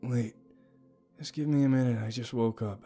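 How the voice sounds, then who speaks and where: A man's voice: medium pitch, fairly even intonation, moderate pace, gravelly, warm tone, breathy edge, faint music behind, pitched above the performer's own voice. A man playing a wry, candid young man, the casual and sardonic hero of an audio story. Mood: weary.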